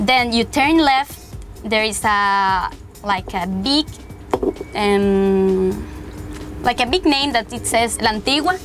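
A woman's voice: fairly high pitch, slow, over music. A young woman from Costa Rica, a native Spanish speaker, chatting casually in an interview on a sailboat deck, with many fillers. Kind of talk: casual chat.

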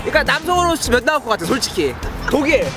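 A man's voice: very high-pitched, very animated, racing, crowd noise. A man in his thirties hosting a casual vlog with group banter. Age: 30s. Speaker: YouTube channel host. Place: city street outside a subway exit. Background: music.

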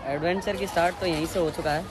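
A man's voice: fairly high pitch, steady tone, quick pace. A young man talking casually to his viewers as a travel vlogger, filming himself with a phone at arm's length and an earphone mic on a railway platform. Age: young adult. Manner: chatty, addresses viewers directly. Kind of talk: casual vlog narration to camera. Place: busy railway station platform, outdoors under a roof. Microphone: wired earphone mic, phone held at arm's length. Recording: loud crowd and station noise.

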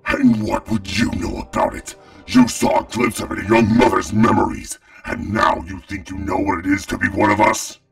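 Gravelly, menacing male dragon father. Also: fairly low pitch, steady tone. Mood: bitter, accusing. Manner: menacing, scornful, drawn-out dramatic delivery. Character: proud, stern old dragon father and tribe leader. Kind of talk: fantasy audio drama acting. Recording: distant mic with music behind.

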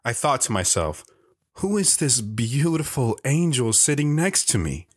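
A man's voice: mid-range voice, big pitch swings, measured pace, soft background music. A man playing a wistful radio guest telling the story of his youth in an audio drama. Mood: reminiscing.

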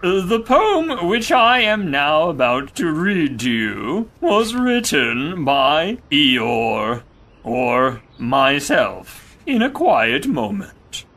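Male actor as a self-important, grumbling donkey poet for children's audio play; dragging delivery, lively melodic swings. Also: a little high, exaggerated voice. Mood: pompous, droll. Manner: ponderous, halting, grumbling. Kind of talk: children's audio drama acting.

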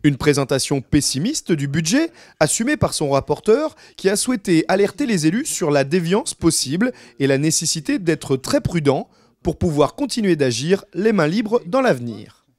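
A man's voice: fairly high pitch, big pitch swings, moderate pace, darkish tone, no room sound. A man reading scripted news narration as a reporter.

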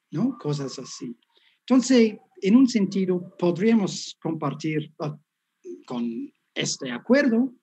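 A man's voice: on the high side, dark tone, husky. A man in his fifties, a native English speaker with a Spanish picked up in Mexico and coastal Colombia, giving a chatty, self-deprecating lecture over a video call. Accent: English speaker's Spanish with Mexican and Colombian street touches. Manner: self-deprecating, chatty, frequent tag questions. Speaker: guest professor.

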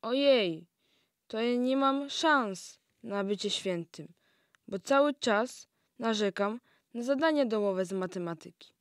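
A woman's voice: a little high, animated, slow, clear, resonant tone, no echo, studio quality. A young female performer playing a curious, candid school pupil in an amateur radio play.

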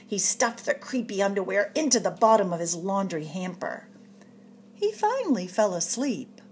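A woman reading a picture book aloud to children in an expressive, dramatic storyteller's way, drawing out suspenseful phrases. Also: mid-range voice, moderate pace, a little hiss or hum. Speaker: storytime reader at a public library.

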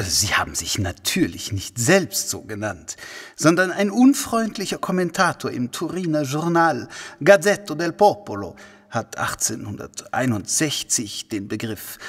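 A man acting a smug, callous wealthy gentleman in a German audio drama, with affected, mock-courteous theatrical delivery. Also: medium pitch, big pitch swings, unhurried, breathy edge, big-room sound, heavily acted. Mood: condescending explaining.

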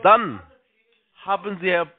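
Guy with a highish voice on a thin phone line, call center agent walking someone through steps, keeps repeating himself. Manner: halting, repeats himself, spells things out slowly. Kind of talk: step-by-step phone sales instructions. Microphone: telephone. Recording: tinny narrow phone line.

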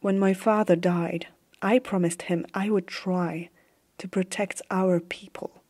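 A woman playing a stern, haunted warrior empress in a hushed, deliberate fantasy ASMR roleplay. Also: fairly low pitch, fairly even intonation. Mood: grave, bitter. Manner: slow, hushed, deliberate dramatic delivery.

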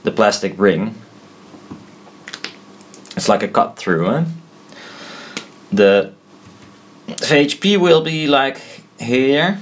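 A man explaining a homemade build informally at a desk, thinking aloud, blunt and halting, often correcting himself. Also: medium pitch, slight background noise. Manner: halting, self-doubting, trailing off and correcting himself. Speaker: maker explaining a DIY build. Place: indoors at a desk.

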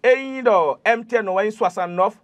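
High-pitched Beninese man, emphatic. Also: a little fast. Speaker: traditional healer, studio guest. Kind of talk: promotional TV talk. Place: TV studio. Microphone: lapel mic.

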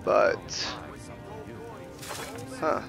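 A man hosting a casual let's play, commenting on his roleplay as he goes. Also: drawn-out words. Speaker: gaming host. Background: game music.

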